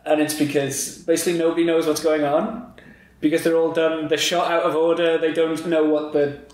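A man's voice: on the high side, level tone, brisk, a little mellow. A man hosting a casual podcast interview, talking informally and often rephrasing himself. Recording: very echoey room.

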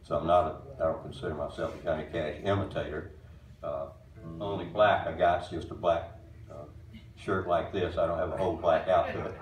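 Deep-voiced man in his eighties. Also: fairly even intonation, faint music behind. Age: 80s. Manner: folksy, plainspoken, halting with many uhs. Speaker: bandleader introducing a tribute show. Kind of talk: casual stage talk to an audience. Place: small wooden-walled music barn with audience. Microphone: far camera recording a stage mic. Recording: roomy hall sound from far off.

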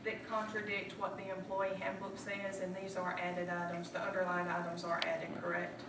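A woman's voice: on the low side, monotone, moderate pace. A woman on a city council asking probing, persistent questions at a public meeting, heard from a desk microphone through a distant camera. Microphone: desk microphone, far camera. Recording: echoey room, distant mic, background hum.

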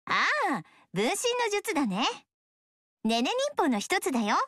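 A bubbly young ninja woman, sweet and eager, who looks after her people like a mother. Her voice is very high and bounces all over. The game studio recording is dry. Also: big pitch swings, a little slow, fairly bright tone, no room sound, heavily acted. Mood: cheerful. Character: cheerful young female ninja, protective mother type.

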